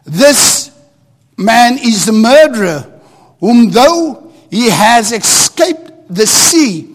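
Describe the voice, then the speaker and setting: A man whose first language is Afrikaans, preaching a sermon in English with halting restarts and rising emphasis. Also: extremely high pitch. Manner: starts quiet and halting, builds to loud emphatic preaching. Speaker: pastor. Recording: long hall reverb.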